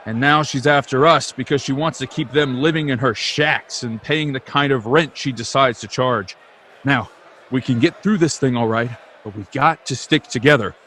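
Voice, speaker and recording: A man's voice: medium pitch, moderate pace, fairly bright tone, slight background noise, close to an everyday voice. A man playing an earnest, hot-tempered young small-town man in a radio drama, emphatic and impassioned. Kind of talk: old-time radio drama acting.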